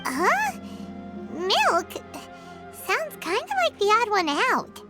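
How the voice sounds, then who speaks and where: A woman voicing a tiny, perky, childlike companion in a video game, squeaky, blunt and chirpy. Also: huge pitch swings, slow pace, heavily acted. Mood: puzzled. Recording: music playing behind the voice.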